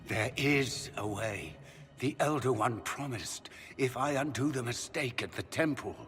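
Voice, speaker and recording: An actor playing a proud, scheming middle-aged magister in a video game, theatrical and pompous with silky menace. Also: male voice, mid-range voice, unhurried, a bit bright, natural voice. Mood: desperate. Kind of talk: dramatic video game voice acting. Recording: long hall reverb, music underneath.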